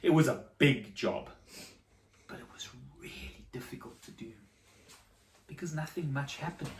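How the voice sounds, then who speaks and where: A storyteller telling a Bible story to children, with dramatic pauses and repetition for emphasis. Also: medium pitch. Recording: echoey room, distant microphone.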